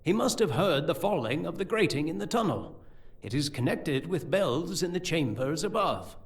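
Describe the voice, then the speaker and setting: A man playing a learned, scheming sorcerer-priest in a fantasy audio drama, speaking in a deliberate, formal, explanatory way. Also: a little high, sing-song. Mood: calm, ominous.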